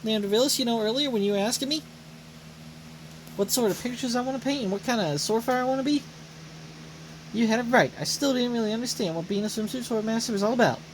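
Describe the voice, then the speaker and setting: A woman's voice: a little high, fast, slight background noise, lightly acted, poor audio. A woman voicing an earnest, brash young female sword fighter in an anime game, eager and blunt. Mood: earnest, determined. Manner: eager, blunt, plain-spoken. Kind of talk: voiced reading of anime game dialogue. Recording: loud game music behind voice.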